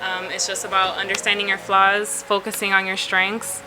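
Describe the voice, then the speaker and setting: A young woman from Florida, a photographer answering interview questions in a relaxed, chatty way with lots of fillers. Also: medium pitch, normal speed, over music, rough recording. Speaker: photographer guest.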